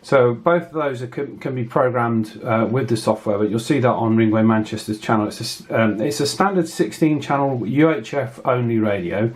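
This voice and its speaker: Guy doing a laid-out-as-he-goes review video, mid pitched voice, stops and starts a lot. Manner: hesitant, unscripted, pausing often mid-phrase. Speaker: radio review channel host. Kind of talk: casual hands-on product review.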